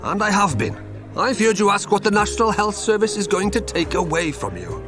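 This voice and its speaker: An actor playing a witty, bold middle-aged Welsh politician in an audio drama, speaking in a wry, persuasive way. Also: male voice, sits high, expressive, a little fast, over music.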